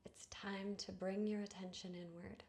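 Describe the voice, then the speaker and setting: A woman guiding a meditation in a soothing, hushed manner, with breathy phrase endings and long pauses. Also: medium pitch, level tone. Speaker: yoga nidra guide. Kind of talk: guided meditation narration.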